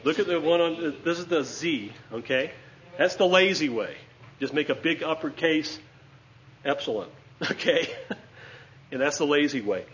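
A man's voice: fairly high pitch, animated, normal speed, long pauses, fairly bright tone. A man teaches a class in a chatty, joking manner, checking in with his students often. The recording is slightly dull and lo-fi, with faint hiss under the voice. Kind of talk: classroom lesson at a whiteboard.

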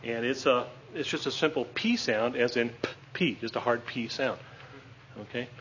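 A man's voice: medium pitch, normal speed, fairly bright tone. A man teaches a class in a chatty, joking manner, checking in with his students often. The recording is slightly dull and lo-fi, with faint hiss under the voice. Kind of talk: classroom lesson at a whiteboard.

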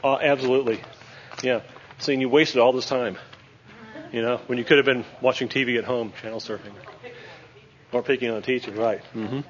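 A man's voice: mid-range voice, steady tone, fairly quick, pauses often, highs cut off. Chatty man teaching a class. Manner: joking, chatty, checks in with "okay?". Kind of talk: classroom lesson at a whiteboard.

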